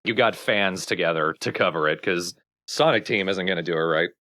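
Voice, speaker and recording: A man's voice: sits low, brisk, pushed and bright, slight background noise. A man co-hosting a let's play, giving opinions in casual conversational game commentary. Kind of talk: casual gaming commentary chat.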